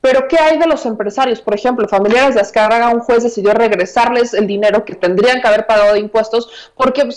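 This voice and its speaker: A woman in her thirties with a Mexican accent giving news commentary from a small room at home, emphatic and conversational, repeating her points. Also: fairly high pitch. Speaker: political commentator. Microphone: earphone mic.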